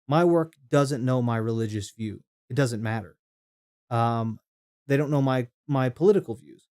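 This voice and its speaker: Mellow-voiced man rambling on stream. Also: medium pitch, normal speed. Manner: rambling with many restarts; reads chat comments aloud. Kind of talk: casual stream commentary.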